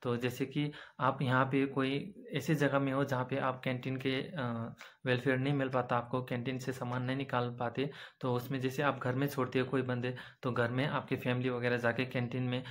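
A young man casually explaining canteen card benefits to his viewers, with frequent filler pauses, recorded on a phone camera at arm's length indoors. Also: mid-range voice, flat delivery. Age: young adult. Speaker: vlogger explaining army canteen cards. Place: indoor room with bright windows behind.

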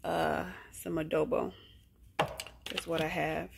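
A woman's voice: low voice, flat delivery, slow pace, vocal fry, roomy sound. A woman in her forties chatting casually to her viewers as she films a cook-with-me vlog at home, laughing often. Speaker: vlogger. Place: home bathroom, then kitchen. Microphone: phone camera at arm's length.

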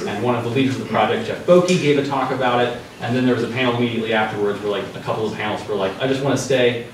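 A young man giving an informal science news talk in a dark lecture room, loose and conversational with many ums and restarts. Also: mid-range voice, a little hiss or hum. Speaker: presenter. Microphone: far room mic. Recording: echoey hall from far off.

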